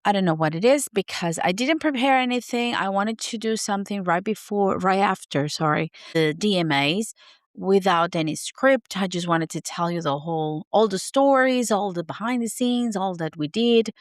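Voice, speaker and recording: A raspy, hoarse woman with a sore throat hosting her podcast in an unscripted, rambling chat, laughing at herself. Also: mid-range voice, normal speed, no echo, studio quality. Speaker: podcast host.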